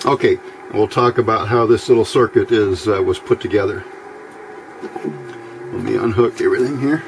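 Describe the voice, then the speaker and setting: A man giving an informal hands-on electronics tutorial in a plain-spoken, halting way, recorded at an indoor workbench. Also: fairly low pitch, creaky, noisy background. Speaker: electronics hobbyist demonstrating a build.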